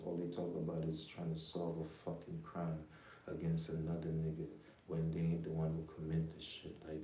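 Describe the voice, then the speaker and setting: A man's voice: extremely low pitch, warm tone, echoey room, a little hiss or hum, low-quality recording. A man from Jamaica who grew up in the US, hosting a rambling, profane livestream full of street talk and constant "you know what I'm saying.". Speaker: livestream host. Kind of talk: casual livestream monologue.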